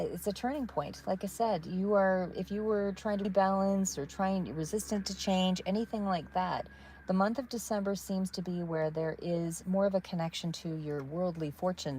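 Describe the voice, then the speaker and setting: Woman, tarot reader, calm and soothing, slightly low voice, rambling delivery. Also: slight background noise. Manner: calm, soothing, meandering with fillers. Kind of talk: live tarot reading.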